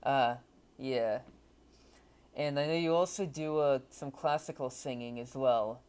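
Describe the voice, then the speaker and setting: A man's voice: medium pitch, measured pace, a little mellow, smooth. A man hosting an interview, asking casual questions with a few fillers. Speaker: host, interviewer.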